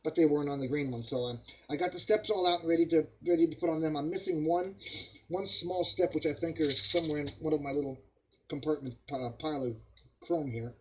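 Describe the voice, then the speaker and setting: A man with a cold, hoarse and coughing, hosting a live stream and chatting casually to viewers while building models. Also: fairly high pitch, brisk, noisy background. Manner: rambling, friendly, greets viewers by name.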